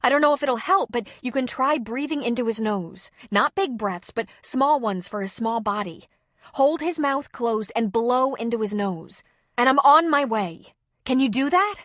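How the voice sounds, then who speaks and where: A woman playing a firm, brisk grown woman. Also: fairly high pitch, expressive, fairly bright tone, no echo, clean studio sound, pitched above the performer's own voice. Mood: urgent. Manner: firm and urgent. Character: brisk, practical adult woman helping out.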